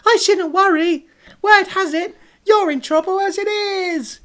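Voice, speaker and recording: A comedy podcaster voicing a brash, mocking gambling-den boss in his fifties with a squeaky, puppet-like cartoon voice. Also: unhurried. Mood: scornful, threatening. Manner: loud, exaggerated, mocking.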